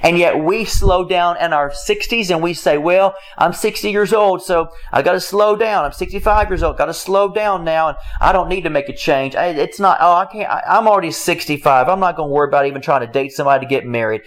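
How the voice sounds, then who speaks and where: A man's voice: fairly high pitch, a little fast, few pauses. A man preaching a motivational sermon, emphatic and repetitive, who asks rhetorical questions and acts out listeners' excuses. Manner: acts out quoted listeners' excuses in other voices.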